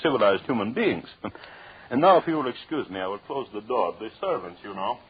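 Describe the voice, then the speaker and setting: An actor playing a vengeful, coldly sinister husband with formal, mock-polite speech in an old-time radio drama. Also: male voice, lowish voice, animated, fairly quick, slightly rough. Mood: mock courteous. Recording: muffled, narrowband old radio broadcast.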